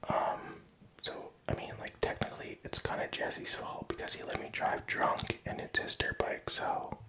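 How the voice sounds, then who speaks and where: A young man whispering a rambling personal story, profane and self-mocking. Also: moderate pace.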